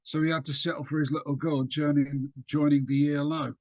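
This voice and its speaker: A man voicing a weary, sarcastic young female agent with quick retorts. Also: a little high, flat delivery, a little mellow, no room sound, close to an everyday voice.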